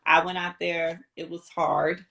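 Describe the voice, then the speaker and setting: Middle-aged American woman, lower-pitched voice, informal interview guest, chatty first-person storyteller. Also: little pitch movement, measured pace, vocal fry, over a noisy crowd. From Houston, Texas, United States. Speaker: interview guest, radio promotions representative. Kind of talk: casual conversational storytelling.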